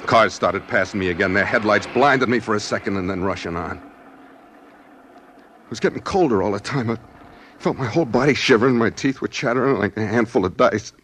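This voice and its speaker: An actor playing a brooding, desperate drifter on the run in a 1940s radio noir drama, by turns narrating and pleading. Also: male voice, on the low side, moderate pace, fairly bright tone, slightly rough. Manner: brooding, world-weary, pleading. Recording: noisy 1940s radio recording.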